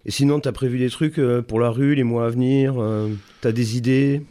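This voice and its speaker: A man's voice: medium pitch, fairly even intonation, normal speed, warm tone. A man hosting a podcast interview, prompting his guest and finishing his thoughts. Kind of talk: interview questions.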